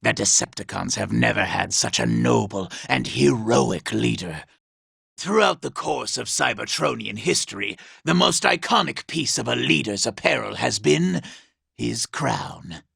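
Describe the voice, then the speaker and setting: A treacherous, self-loving robot villain from a video game. The voice is heavily gravelled and piercing, with big theatrical swoops in pitch. Every line is delivered with pompous, sneering contempt for lesser beings. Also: male voice, very animated, a little slow, sharp tone, dry recording, clean studio sound, exaggerated voice. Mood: pompous, self-admiring. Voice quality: raspy, gravelly. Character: vain, scheming, treacherous robot villain, cowardly under threat. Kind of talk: video game character acting.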